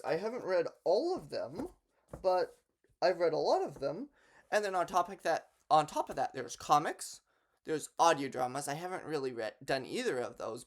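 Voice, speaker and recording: A young man talking casually and unscripted to camera as a vlogger, with a hesitant delivery full of false starts. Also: on the high side. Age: young adult. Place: bedroom in a home.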